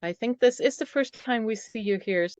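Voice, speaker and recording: A woman's voice: fairly high pitch, moderate pace, darkish tone, dry recording. A woman hosting an online meeting, handing over briefly from one speaker to the next. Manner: brief handovers between speakers. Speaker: meeting host. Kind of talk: moderating introductions.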